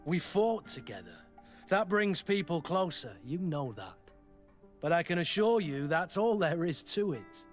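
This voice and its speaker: Game role: loyal, plain-spoken mercenary veteran, fairly high male voice, earnest delivery over background music. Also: very animated, unhurried. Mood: warm, reflective. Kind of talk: video game dialogue acting.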